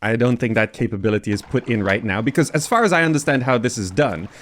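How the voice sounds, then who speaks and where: A man's voice: mid-range voice, quick pace, fairly bright tone. A man giving casual gameplay commentary, thinking aloud in a hesitant way as he goes. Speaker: gamer presenting a game mod.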